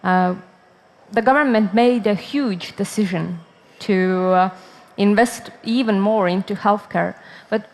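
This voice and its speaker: A woman with a mid-pitched voice moderates a conference panel. She speaks haltingly, with frequent pauses and uhs between phrases. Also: darkish tone. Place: conference stage.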